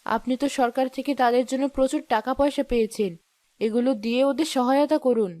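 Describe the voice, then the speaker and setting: Woman playing a highish-voiced, reproachful village wife. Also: fast talker, darkish tone, natural voice. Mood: indignant. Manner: reproachful, moralizing. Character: compassionate, outspoken wife of village headman. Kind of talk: cartoon voice acting.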